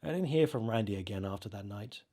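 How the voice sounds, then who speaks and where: A man narrating a first-person horror story in an expressive, dramatised way with halting pauses. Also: on the low side. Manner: expressive, halting, acts out reactions.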